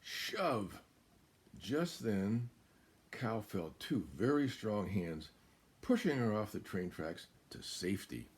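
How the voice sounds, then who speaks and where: An American man in his seventies reading a children's picture book aloud as a guest storyteller, in a deliberate, emphatic way. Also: lowish voice, sing-song, slightly rough. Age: 70s. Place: small room indoors.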